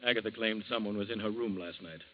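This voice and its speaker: A man's voice: lowish voice, quick pace, lightly acted. A man playing a mild household uncle. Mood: uneasy. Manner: mild, then loudly alarmed. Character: well-off older uncle of the household. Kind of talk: 1940s radio acting. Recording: dull old radio recording with faint hiss.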